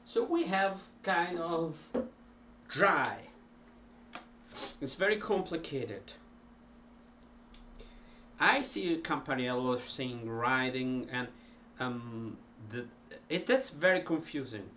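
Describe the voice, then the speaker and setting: A middle-aged man with an Italian accent, talking casually to a webcam about learning languages, slow and full of ums and restarts. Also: fairly high pitch, expressive, pushed and bright, a little hiss or hum. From Italy. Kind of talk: casual talk to camera. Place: cluttered home music room.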